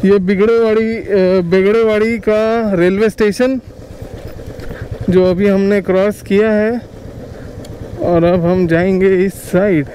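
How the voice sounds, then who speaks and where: A man's voice: high voice, steady tone, slow pace, pauses often, warm tone, low-quality recording. A young man giving casual, chatty vlog commentary outdoors, talking to his viewers like friends. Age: young adult. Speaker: motovlogger. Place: outdoors, riding a motorbike and at a rocky riverside. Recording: clear road, wind and engine noise.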